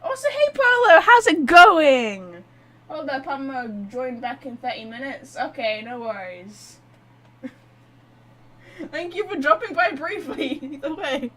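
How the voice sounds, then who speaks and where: A woman gives casual playthrough commentary as she streams. Her voice is high and swings widely, with an expressive, sweary, teasing manner. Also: unhurried. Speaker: game streamer.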